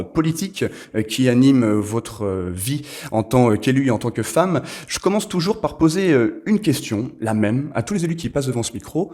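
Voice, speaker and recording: A male podcast host with an average-pitched voice conducts a formal interview. His phrasing is courteous and wordy, with frequent hesitation as he builds long questions and introductions. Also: warm tone.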